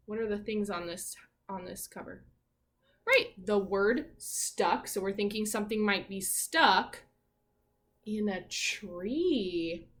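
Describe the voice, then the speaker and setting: A woman teacher leading an interactive read-aloud for young children, asking questions and reading with animated emphasis. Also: medium pitch. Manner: asks questions and pauses as if hearing answers. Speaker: elementary school teacher.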